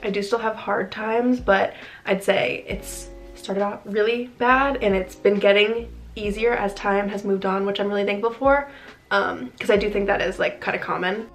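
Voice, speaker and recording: A woman's voice: mid-range voice, fairly even intonation, normal speed, soft background music. A young woman from New Jersey talking casually to camera as a vlogger, with lots of "um" and "like". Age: young adult. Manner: chatty, frequent "um" and "like". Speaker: vlogger, college freshman.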